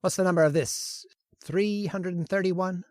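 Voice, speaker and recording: A woman's voice: fairly low pitch, steady tone, normal speed, a little mellow, dry recording, clean studio sound, natural voice. A woman voicing a manic, arrogant male scientist in a radio horror play, bullying his assistant and gloating in frantic outbursts. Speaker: voice actor. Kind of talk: old-time radio drama acting.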